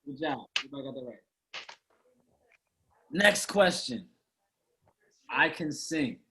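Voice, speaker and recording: Young man in his twenties, slightly high, bright and very lively, hosting friends on a call. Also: unhurried. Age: 20s. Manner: animated, laughs often, quizzes his friends. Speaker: host. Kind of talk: casual group chat on a video call.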